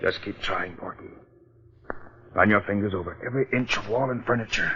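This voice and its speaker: An actor playing a brisk, commanding American police detective with a raspy voice in 1940s radio drama style. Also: male voice, on the low side, sharp tone, full character voice. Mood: tense, hushed. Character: forceful, urgent, no-nonsense police detective. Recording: muffled vintage 1940s radio recording.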